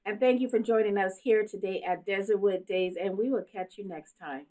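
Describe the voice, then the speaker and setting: A woman's voice: mid-range voice, fairly quick, over loud music. A woman hosting a show, interviewing her guest in a conversational style.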